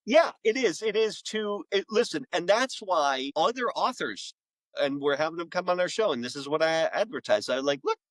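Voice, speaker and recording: A man's voice: fairly high pitch, lively intonation, moderate pace, no echo. A middle-aged man speaking frankly and self-deprecatingly in a conversational podcast interview as a guest author.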